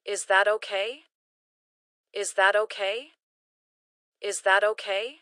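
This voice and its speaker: A woman reading short English phrases slowly and carefully, each one repeated for learners to practice. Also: mid-range voice, flat delivery, sharp tone, no echo, clean studio sound. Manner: careful, clearly enunciated. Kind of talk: slow repeated phrase drills.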